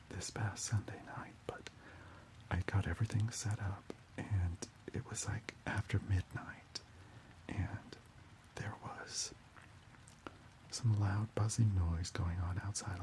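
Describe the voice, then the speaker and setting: A man hosting an ASMR livestream, speaking in a breathy, hushed whisper with deliberate delivery. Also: low voice.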